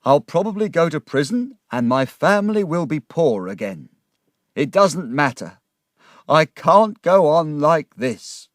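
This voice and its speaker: A man voicing a distraught, guilt-ridden older gentleman in an impassioned, exclamatory way for a dramatised audiobook. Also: high voice, very animated, takes it slowly, vocal fry, few pauses, no echo, studio quality, voice pushed up, full character voice. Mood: despairing outburst.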